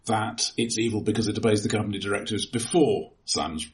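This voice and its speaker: Slightly low-voiced man, halting film chat. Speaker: film discussion podcast co-host. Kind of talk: casual film discussion.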